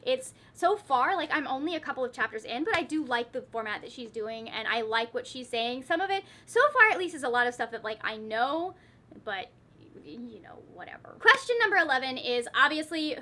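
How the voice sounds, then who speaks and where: High, bright-voiced woman chatting as a book vlogger. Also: lively intonation, normal speed. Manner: self-interrupting, wry asides to herself. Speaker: book vlogger, host of her own channel. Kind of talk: casual, chatty talk to viewers.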